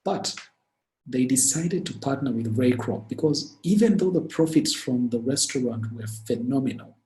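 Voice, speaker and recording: A man with a Ugandan accent, from Uganda, giving a webinar talk as the speaker and walking through business stories in an earnest, lively way. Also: mid-range voice. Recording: echoey room.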